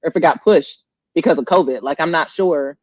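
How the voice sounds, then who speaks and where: A woman co-hosting a podcast in casual movie chat, playful and animated, often repeating her phrases. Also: low-pitched, fairly quick, dry recording, dull audio. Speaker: podcast co-host.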